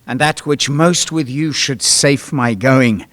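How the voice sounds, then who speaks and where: An actor playing a proud, earnest Roman general and lover in classical Shakespearean stage style, formal and placating. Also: male voice, medium pitch, slow, a bit bright, no echo. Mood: earnest, pleading. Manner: formal, impassioned declamation.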